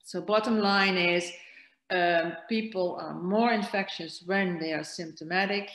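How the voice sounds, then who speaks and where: Low-voiced woman lecturing over slides. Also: steady tone, a little slow, a bit bright, echoey room, low-quality recording. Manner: hesitant, many fillers and restarts. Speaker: keynote speaker on virus transmission. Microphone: webcam on a video call.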